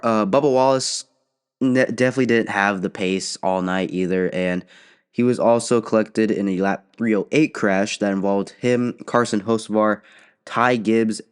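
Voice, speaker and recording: A man's voice: fairly low pitch, clean tone. A young man with a cold, sniffling, hosting a casual solo race recap podcast with many fillers and restarts. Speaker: podcast host.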